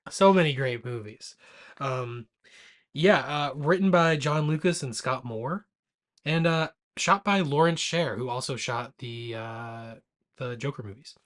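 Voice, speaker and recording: A man's voice: mid-range voice, a little slow, fairly bright tone. A man in his late twenties co-hosting a movie podcast, chatting casually and jokingly while drinking. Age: late 20s. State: drinking alcohol. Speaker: podcast co-host.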